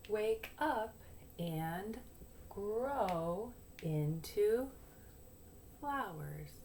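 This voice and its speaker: A woman with a slightly low voice teaches young children at a very slow pace. She explains things simply, step by step, as an early primary teacher does in a read-aloud lesson. Some noise sits behind her voice. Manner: simple step-by-step explaining, sounding out phrases deliberately.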